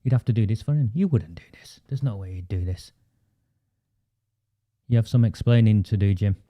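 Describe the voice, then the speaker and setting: A man's voice: on the low side, normal speed, dull audio. A man hosting a live online show, asking his guest blunt, rhetorical questions over a video call. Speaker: host.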